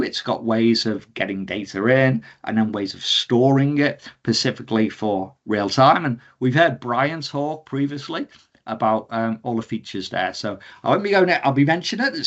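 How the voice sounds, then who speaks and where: A man's voice: medium pitch, fairly bright tone. A man giving an informal technical presentation on a video call, explaining in a conversational way. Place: indoor room, on a video call. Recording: dull, lo-fi video-call audio.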